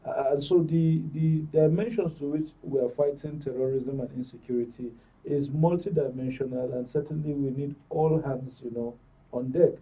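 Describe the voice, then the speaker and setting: A Nigerian man giving measured, formal and wordy current affairs analysis as a panel guest. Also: mid-range voice, steady tone, slightly rough. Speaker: studio guest, security commentator. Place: broadcast studio panel. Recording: distant mic, dull muffled audio.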